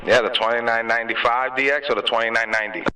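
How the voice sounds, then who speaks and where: A man's voice: mid-range voice, little pitch movement, quick pace. A man chatting casually on a radio, using slangy, teasing talk. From New York. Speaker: CB radio operator. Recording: noisy, distant-sounding two-way radio transmission.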